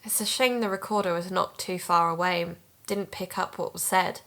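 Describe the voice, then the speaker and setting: An actress playing an earnest woman amateur sleuth who hosts an investigative podcast, narrating calmly. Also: lowish voice, normal speed, creaky. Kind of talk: audio drama narration and dialogue.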